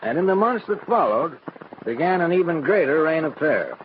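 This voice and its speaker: An actor playing a grave, authoritative official who narrates crime cases on an old radio show. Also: male voice, on the high side, very animated, a little fast. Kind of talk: 1940s radio scripted narration. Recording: muffled, noisy old radio broadcast.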